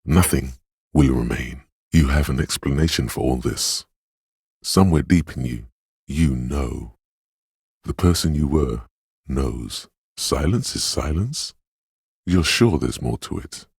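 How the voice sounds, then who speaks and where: An actor playing a brooding, dreamlike inner voice of intuition in a video game, narrating slowly, solemnly and ominously in poetic second person. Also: male voice, very deep voice, lively intonation, gravelly, a little mellow, slightly rough, no room sound, clean studio sound, heavily acted. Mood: brooding, mysterious.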